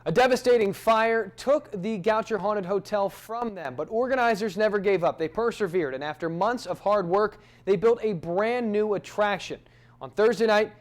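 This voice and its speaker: High-voiced American male news reporter. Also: no room sound. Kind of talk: scripted news narration. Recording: faint background noise.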